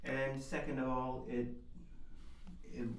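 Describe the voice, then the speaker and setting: A woman's voice: extremely low pitch, level tone, slow pace. A woman directing a recording session, casually correcting and modelling lines for a reader. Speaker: recording director coaching the narrator. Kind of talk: casual coaching and line correction. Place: echoey room, away from the microphone. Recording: distant, echoey room with faint noise.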